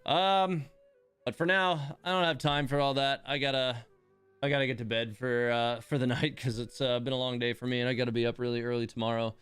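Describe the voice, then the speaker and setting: A man's voice: medium pitch, fairly even intonation, brisk, fairly bright tone, clean tone, no echo. A man hosting a game livestream, chatting casually in a rambling, self-deprecating way. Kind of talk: casual livestream chat while playing a game.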